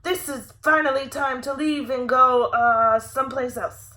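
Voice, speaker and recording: A woman voicing a loud, hungry, scheming male Papa Dinosaur from a children's storybook. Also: unhurried. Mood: blustering. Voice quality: loud, booming.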